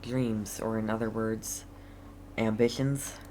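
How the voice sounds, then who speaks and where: A woman's voice: extremely low pitch, fairly even intonation, slow, dark tone, slight background noise, rough recording. A woman giving casual gameplay commentary with wry, playful asides as she reacts to the game. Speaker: let's player reacting to a game.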